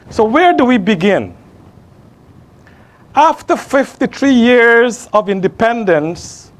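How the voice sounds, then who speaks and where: Middle-aged Guyanese man, studio host, high voice, measured delivery with slow, weighty questions. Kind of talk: scripted monologue to camera. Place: small studio.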